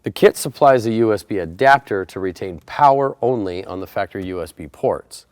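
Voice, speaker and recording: Low-voiced man giving step-by-step instructions. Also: lively intonation, fairly quick, clear, resonant tone, dry recording. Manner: plain, methodical explaining. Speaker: installer presenting a how-to tutorial.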